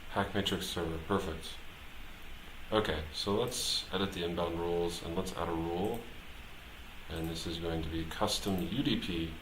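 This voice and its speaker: A man thinking aloud as he live-streams his programming work, talking casually and haltingly, muttering to himself as he goes. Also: sits low. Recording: roomy echo, clear background noise, typing.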